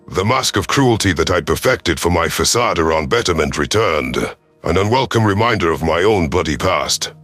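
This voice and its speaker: Man, low pitch, dramatic sci-fi narrator, grave alien commander's account, faint music behind. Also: a bit bright. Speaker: narrator of a sci-fi audio story. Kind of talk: first-person dramatic scripted narration.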